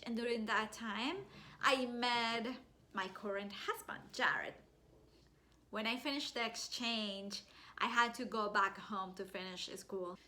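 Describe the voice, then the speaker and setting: A woman's voice: medium pitch, pushed and bright. A young woman with a Colombian Spanish accent, a native Spanish speaker, telling her own business story in a chatty, upbeat way straight to her viewers. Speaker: digital marketing business owner. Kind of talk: personal story vlog to camera.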